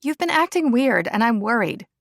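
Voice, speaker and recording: A woman's voice: fairly high pitch, very animated, moderate pace, dry recording, voice pushed up. A woman voicing a worried young woman pressing her close friend with earnest questions.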